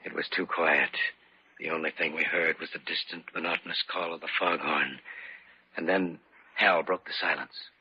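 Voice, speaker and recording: An actor playing an earnest, anxious man recounting a horror in old-time radio style, measured and suspenseful. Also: extremely low pitch, fairly even intonation, noisy background. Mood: brooding suspense. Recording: muffled vintage radio with loud music.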